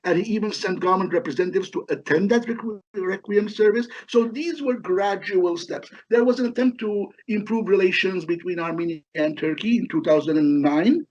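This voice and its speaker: A middle-aged man from Lebanon giving halting, explanatory answers as an academic expert in an interview. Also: high voice, a little mellow. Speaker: history professor, interview guest. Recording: dull, lo-fi call audio.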